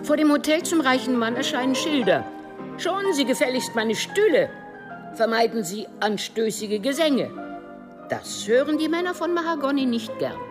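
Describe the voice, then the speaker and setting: Woman, scripted radio narration, dramatic emphatic phrasing, lively wide-ranging pitch, music clearly behind. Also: moderate pace. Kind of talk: scripted narration of an opera synopsis.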